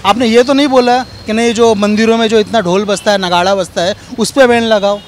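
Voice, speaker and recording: Argumentative Indian male passer-by, high pitch, emphatic and repetitive, faint traffic noise. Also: fairly even intonation, a little fast. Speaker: member of the public giving opinion. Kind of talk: argumentative vox pop opinion.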